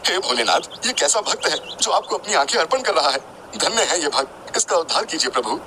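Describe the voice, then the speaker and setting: A devout male temple priest played by a man exclaims and pleads as if in prayer. His pitch moves freely and his indignation suits a devotional storybook tale. There is clear noise behind him. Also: big pitch swings, brisk. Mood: awed.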